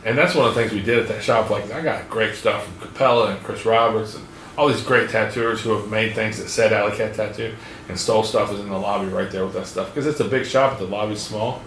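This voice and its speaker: A man's voice: lowish voice, a little fast, fairly bright tone. A man in his forties talks casually, swearing and ribbing his friends. His voice sits back from the mic and rings in a roomy space, with faint noise underneath. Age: 40s. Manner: chatty, profane, teasing. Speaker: podcast host. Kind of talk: casual chat. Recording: echoey room, mic at a distance.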